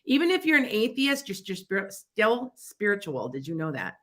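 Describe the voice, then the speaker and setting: Bright-voiced chatty woman with a Chicago accent. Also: mid-range voice, big pitch swings, moderate pace. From Wisconsin near the Illinois border. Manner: rambling and chatty, with frequent restarts and asides. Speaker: psychic radio show host. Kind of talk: casual advice talk on internet radio.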